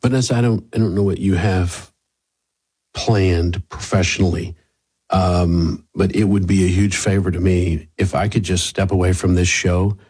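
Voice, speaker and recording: A man's voice: deep voice, fairly even intonation, normal speed, darkish tone, slightly rough. A man hosting a radio show, speaking in a conversational interviewing style.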